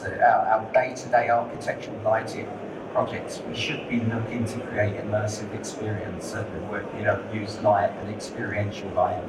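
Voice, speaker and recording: A man speaking as a lighting designer on a conference panel, candid and conversational, often repeating words as he thinks. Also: lowish voice. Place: conference hall. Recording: echoey hall, distant mic, noisy.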